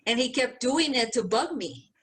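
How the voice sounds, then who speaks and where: A woman's voice: on the high side, expressive, moderate pace. A young adult woman co-hosting a podcast, telling stories in a laid-back way, cussing freely and calling her friend "bro". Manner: profane, laid-back storytelling, says "bro" a lot. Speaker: podcast co-host. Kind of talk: casual chat.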